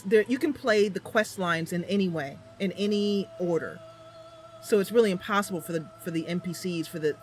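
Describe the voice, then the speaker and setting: A woman hosting a gaming channel, casually commentating on her roleplay, thinking aloud and explaining as she goes. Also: fairly low pitch.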